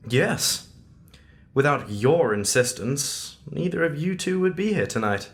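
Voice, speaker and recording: An actor playing a calm, mysterious agent who guards time, speaking in a measured, formal, gently wry way in an audio drama. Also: male voice, fairly high pitch.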